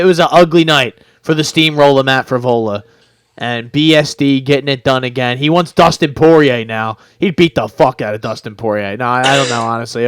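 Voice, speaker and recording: Young man with a smooth, clear voice. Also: mid-range voice, fairly bright tone, no room sound, studio quality. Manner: blunt, profane, teasing. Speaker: podcast co-host. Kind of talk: casual sports chat.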